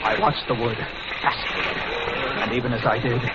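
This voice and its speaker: An actor playing a tense, steady man narrating his ordeal in an old radio drama, with suspenseful, deliberate phrasing. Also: medium pitch, close to an everyday voice. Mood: grim, tense. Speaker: narrator and lead character. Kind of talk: 1950s radio drama first-person narration. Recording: dull, noisy old radio recording.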